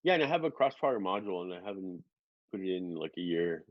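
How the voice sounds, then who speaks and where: A man's voice: low voice, big pitch swings, quick pace, no room sound, muffled recording. Chatty rambling man in his forties. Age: 40s. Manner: rambling, swears freely, laughs often. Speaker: guest drone pilot. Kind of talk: casual chat.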